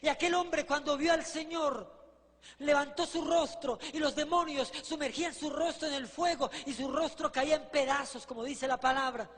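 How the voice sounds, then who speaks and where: A man's voice: extremely high pitch, steady tone, normal speed, fairly bright tone. A man preaching a vivid testimony in a fervent storytelling manner, often putting rhetorical questions to his listeners. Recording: reverberant hall with long echo.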